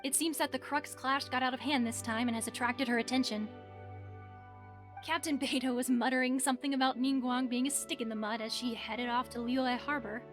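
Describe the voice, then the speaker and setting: A woman's voice: high voice, steady tone, fairly quick, music in the background, close to an everyday voice. A woman playing a polite, proper young tournament official in an anime-style game, explaining things courteously. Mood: informative.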